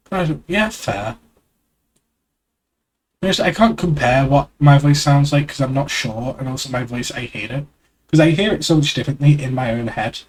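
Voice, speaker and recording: A man's voice: medium pitch, darkish tone, rough recording. Man, stuffy nose, live streamer, rambling self-deprecating chat, desk mic. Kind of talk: casual live-stream reading of a webcomic aloud. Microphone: external desk mic.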